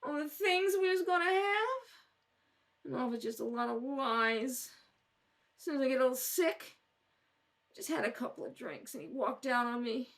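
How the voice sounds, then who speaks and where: A woman's voice: high voice, moderate pace, pauses often. A woman playing an angry, self-pitying, hard-drinking neglectful mother in an old-time radio crime drama, brash and slangy. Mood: tearful, self-pitying.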